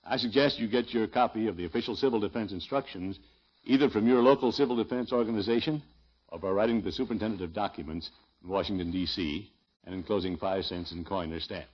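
A man's voice: lowish voice, quick pace, breathy edge. A man playing a pompous, blustery middle-aged American small-towner in a radio comedy. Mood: sincere. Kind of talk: 1950s radio comedy acting. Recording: muffled vintage 1950s radio recording.

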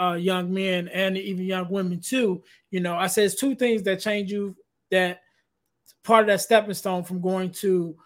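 A man with a high voice for a man hosts the chat and talks about life lessons. He fills his talk with "you know" and "you know what I mean", and his tone leans preachy. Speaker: podcast host. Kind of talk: casual interview chat.

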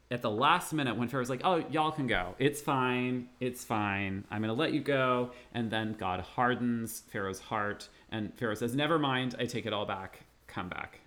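A man's voice: mid-range voice, a bit bright. A man co-hosting a podcast, discussing theology in a casual scholarly way with jokey asides. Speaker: podcast co-host.

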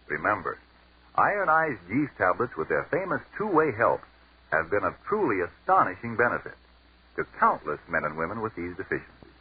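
A man's voice: lowish voice, phone-quality sound, a little hiss or hum. A man announcing and reading ads on a 1940s radio broadcast in an emphatic sales cadence.

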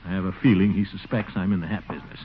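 An actor playing a pompous, flustered middle-aged schemer in a 1940s radio comedy, blustering and self-satisfied. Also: male voice, sits low, steady tone, racing, narrow, tinny audio, lightly acted. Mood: flustered, scheming. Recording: scratchy, band-limited 1940s radio broadcast.

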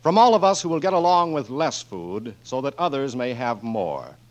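A man's voice: mid-range voice, very animated, normal speed. An American man making scripted 1940s radio announcements in a formal, emphatic manner. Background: music.

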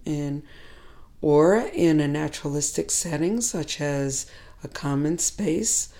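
A middle-aged woman with a hoarse voice, giving a measured instructional talk to teachers, pausing now and then. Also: low-pitched, warm tone. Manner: measured, reflective, with pauses and fillers. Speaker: teacher educator.

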